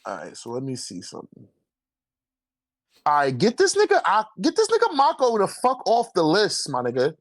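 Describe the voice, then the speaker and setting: A man's voice: high-pitched, wildly swooping pitch, moderate pace, no room sound, studio quality. A man arguing casually and heatedly, with emphatic, repetitive, profane slang. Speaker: co-host debating anime character rankings.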